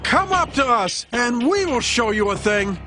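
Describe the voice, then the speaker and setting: Very high-pitched, taunting enemy soldier. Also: male voice, sing-song, a little slow, bright, forceful tone, soft background music, exaggerated voice. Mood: mocking. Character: taunting enemy garrison soldier, scornful and menacing. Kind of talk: dramatized audio Bible acting.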